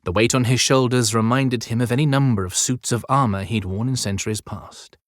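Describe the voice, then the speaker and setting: A man's voice: mid-range voice, fairly even intonation, fairly quick, no echo. Male audiobook narrator, close and dry. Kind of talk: scripted narration, dramatic fantasy storytelling.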